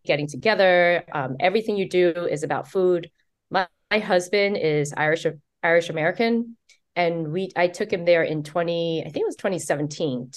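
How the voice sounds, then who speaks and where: A middle-aged woman from Taiwan talks about her life and work in a casual interview. Her voice sits fairly low, and she tells her stories in an animated way, jumping way up in pitch when she acts out what other people said. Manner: animated, excited storyteller who acts out quotes. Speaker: author and guest.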